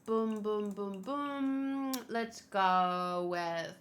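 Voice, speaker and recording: A woman chatting casually on a gaming livestream, thinking aloud in an expressive, chatty way. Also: medium pitch, extremely slow. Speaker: video game streamer. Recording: clear background noise.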